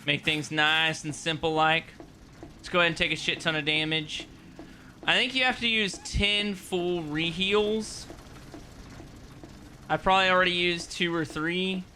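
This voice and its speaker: A man's voice: on the high side, measured pace, long pauses, pushed and bright, slight background noise. A young man casually commentating a horror game, joking and swearing as he plays. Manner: joking, swearing, talking to himself. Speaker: gaming streamer. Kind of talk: casual let's-play commentary.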